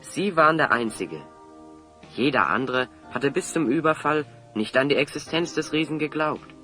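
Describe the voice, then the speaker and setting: A man's voice: a little high, expressive, moderate pace, sharp tone, faint music behind. A man acting a clever, confident hero in an audio drama, articulate and assertive as he reasons things out. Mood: firm, reasoning. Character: clever, confident male hero explaining a scheme.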